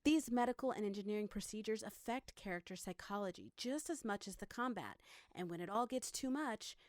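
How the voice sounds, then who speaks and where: A woman delivers scripted promotional narration for a video game. Her pitch sits in the middle range for a woman. The sound is dry and close, with no room to it. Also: lively intonation, brisk, dry recording.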